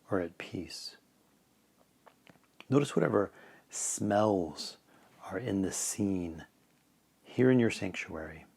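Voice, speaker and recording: A man leading a guided meditation in a calm, measured way, letting phrase ends trail off softly. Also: fairly low pitch, gravelly.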